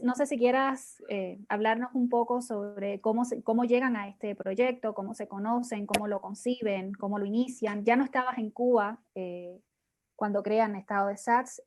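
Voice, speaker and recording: A woman hosting an interview, asking long questions in a measured way and searching for her words. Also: mid-range voice, fairly even intonation, darkish tone. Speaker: host.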